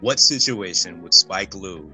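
Podcast co-host, young man, lowish, very bright and forward tone, playful, faint music under. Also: unhurried. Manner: playful, jokey, asks questions. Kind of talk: casual chat.

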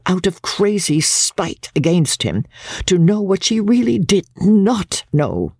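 A woman's voice: deep voice, a little mellow, husky, dry recording, clean studio sound, full character voice. A woman reading classic literature dramatically as a prim, self-righteous older mother, pompous, genteel and indignant in manner. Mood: self-justifying.

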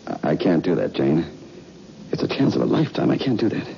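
A man's voice: a bass voice, sing-song, brisk, gravelly, mellow, heavily acted. An actor playing an earnest, hesitant male pilot in an old-time radio suspense drama. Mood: pleading, earnest. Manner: hesitant, wondering, sincere. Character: earnest, eager male test pilot, devoted husband. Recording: muffled, noisy old radio recording.

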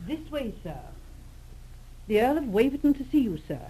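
A woman's voice: sits high, very animated, moderate pace, noisy background. A woman playing a knowing, wry, efficient secretary in a 1940s radio drama. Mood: knowing, gossipy. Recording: old radio drama recording.

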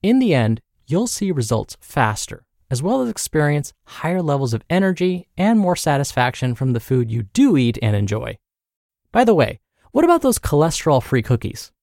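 An American man hosting a podcast, reading and explaining in a clear, instructive, emphatic way. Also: mid-range voice, sing-song, moderate pace, darkish tone, dry recording, clean studio sound. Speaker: podcast host and nutrition teacher. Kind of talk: scripted narration and spoken commentary.

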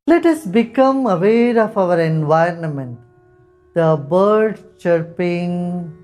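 Male meditation guide: unhurried and soft-spoken, warm and mellow, with light instrumental music behind. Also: high-pitched. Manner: slow, soft, calm instructions with long pauses.

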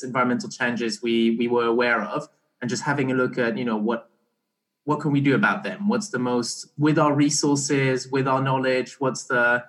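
A young man talking as a podcast guest in a relaxed, halting way, often restarting his sentences. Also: mid-range voice. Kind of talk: casual conversational interview.

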